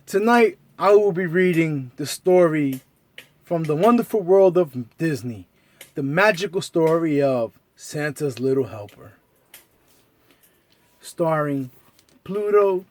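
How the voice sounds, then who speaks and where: High-pitched man reading a children's story. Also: animated, slow. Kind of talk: animated read-aloud storytelling for children.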